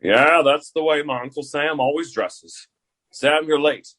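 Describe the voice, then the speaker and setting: A man's voice: a little high, unhurried, fairly bright tone. A man playing a hesitant, fussy statesman in a comic sketch, with slight background noise.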